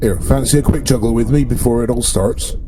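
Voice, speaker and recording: A man's voice: medium pitch, a little fast, warm tone, soft background music, close to an everyday voice. A man voicing an easygoing, playful male starship ensign in a tabletop game, jaunty and folksy. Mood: friendly, playful.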